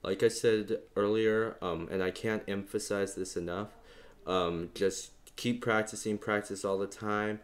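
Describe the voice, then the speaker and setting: A young man with a very low bass voice walks the listener through beatbox sounds. He talks casually and rambles a bit, leaning on fillers as he explains. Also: unhurried, slight background noise. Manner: relaxed, rambling, many fillers. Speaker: beatbox tutorial host. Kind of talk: casual instructional talk.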